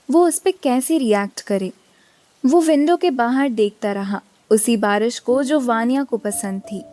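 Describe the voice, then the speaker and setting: A woman's voice: a little high, darkish tone. A woman reading scripted narration for a romantic audio drama.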